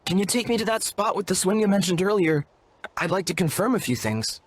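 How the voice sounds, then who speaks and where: Earnest young shaman apprentice, higher-pitched. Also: expressive, fairly quick, a little hiss or hum. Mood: earnest, deferential. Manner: formal, polite, earnest. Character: earnest, courteous young male shaman apprentice, devoted and wordy. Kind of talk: video game voice acting. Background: music.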